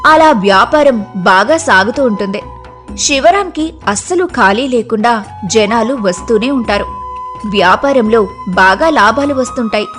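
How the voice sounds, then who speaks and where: A woman's voice: on the high side, lively intonation, measured pace, a bit bright, faint music behind. A woman narrating a scripted moral story as a storyteller.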